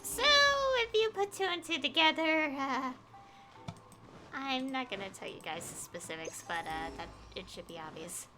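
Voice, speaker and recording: A woman's voice: high voice, expressive, normal speed, over music. A woman game streamer chatting casually on a livestream in a rambling, conversational way. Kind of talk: casual livestream chat over gameplay.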